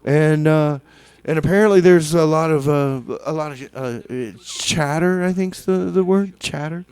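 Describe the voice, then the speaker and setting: A man hosting a community radio music show, chatting casually in a halting, rambling way with deadpan comedy. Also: fairly high pitch, smooth, no echo. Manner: hesitant, rambling, halting with repeated words. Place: small community radio studio.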